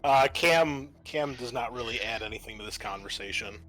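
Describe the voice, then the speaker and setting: Bright-voiced man running a tabletop game. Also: mid-range voice, normal speed. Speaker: game master. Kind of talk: narrating a tabletop roleplaying game. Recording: music behind the voice. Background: background music.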